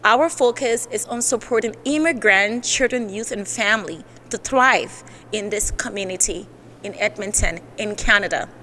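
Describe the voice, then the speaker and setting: A woman's voice: mid-range voice, moderate pace, sharp tone, slight background noise. A woman giving a prepared introduction as the host of a community event. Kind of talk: prepared presentation to camera.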